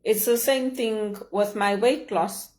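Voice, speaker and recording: A middle-aged woman speaks in a measured, heartfelt way, reflecting on her own experience. Her voice sits at an ordinary mid pitch. She talks things through steadily and earnestly, as in a personal vlog. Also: steady tone, darkish tone. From Zimbabwe. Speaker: leadership vlogger.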